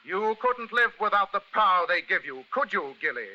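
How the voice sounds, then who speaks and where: An actor playing a shrewd, commanding English gentleman detective, crisp and theatrical, with a British accent in an old radio mystery. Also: high voice, expressive, normal speed. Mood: stern, accusing. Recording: muffled old radio broadcast, music underneath.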